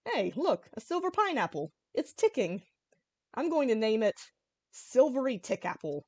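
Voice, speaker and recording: Woman reading as a grumbling dragon recluse, animated. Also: on the high side, normal speed, no room sound. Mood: delighted. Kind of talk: hobby fan fiction reading.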